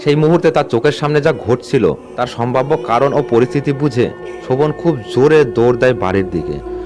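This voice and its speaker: A man's voice: mid-range voice, a little fast. Male storyteller over music. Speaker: audio story narrator. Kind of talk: scripted narration of a ghost story. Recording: music bed under the voice. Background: background music.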